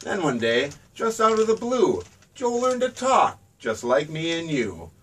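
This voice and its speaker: A man's voice: a little high, huge pitch swings, unhurried, recorded from a distance. A man reading his own rhyming children's book aloud in a sing-song storybook manner.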